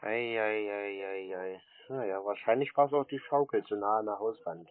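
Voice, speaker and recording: Deadpan man on a tinny phone line. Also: lowish voice, moderate pace. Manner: laconic, teasing deadpan. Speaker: prank call recipient stringing callers along. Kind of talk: casual phone chat. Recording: noisy, tinny phone recording.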